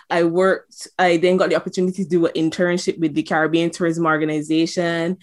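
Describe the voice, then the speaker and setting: Barbadian woman, lower register, conversational guest, relaxed anecdotal delivery. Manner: warm, storytelling, with fillers. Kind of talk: conversational interview.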